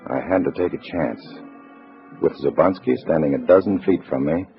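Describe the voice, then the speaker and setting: An actor playing a wary undercover agent in a 1950s radio drama, with an American accent and a measured, clipped, hard-boiled delivery. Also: male voice, a bass voice, gravelly. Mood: grim, tense. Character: wary male undercover agent posing as loyal party comrade. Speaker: narrator and lead actor. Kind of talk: 1950s radio drama acting, first-person narration. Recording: muffled vintage radio recording with music.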